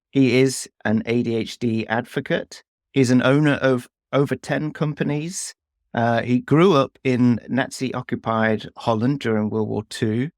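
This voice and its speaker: A man's voice: mid-range voice, dry recording. Guy hosting a podcast, earnest and kinda hesitant, lots of uhs, mid voice, dry mic. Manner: earnest, hesitant, many fillers and restarts. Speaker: podcast host. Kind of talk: interview hosting.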